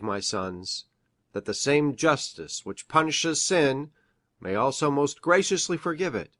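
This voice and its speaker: A man's voice: mid-range voice, fairly bright tone, no room sound, close to an everyday voice. Solemn, dry-sounding male doctor played by a man. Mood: solemn, admonishing. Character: earnest male doctor reading an old family legend. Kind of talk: dramatic audiobook reading of archaic narrative.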